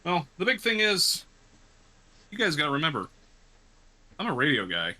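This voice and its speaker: A man's voice: on the high side, huge pitch swings, normal speed, bright, forceful tone. A man who works in radio, cooking along in his home kitchen and chatting in a rambling, self-deprecating way. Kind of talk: casual chat while cooking. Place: home kitchen with gas stove.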